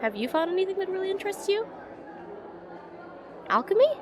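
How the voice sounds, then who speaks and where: A woman as a high, lively, flustered young witch student. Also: fairly quick. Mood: hesitant, musing. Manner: flustered, hesitant, chatty and self-deprecating. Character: shy, rambling young witch student in potions class. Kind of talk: slice-of-life fantasy audio roleplay. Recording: voice over busy classroom crowd ambience.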